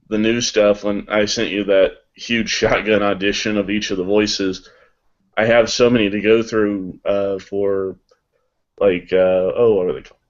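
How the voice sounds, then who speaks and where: A man, a voice actor, answering interview questions casually in a deliberate, wordy way. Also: on the low side. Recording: dull lo-fi online call audio.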